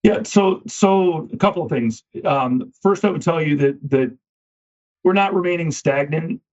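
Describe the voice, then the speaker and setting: A man, a finance company CEO, answering interview questions with a slightly scratchy voice, measured and often repeating words as he starts a thought. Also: on the high side, a little mellow. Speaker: equipment finance CEO, guest. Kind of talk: business podcast interview. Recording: dull lo-fi sound.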